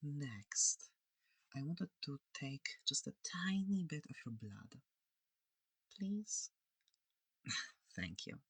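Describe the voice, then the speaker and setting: A performer as a curious alien scientist, creaky breathy voice, unhurried, soft-spoken, reassuring, ASMR roleplay, close mic. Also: medium pitch, sing-song, dry recording, exaggerated voice. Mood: gentle, reassuring. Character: curious polite alien scientist examining an abducted human. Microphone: close microphone.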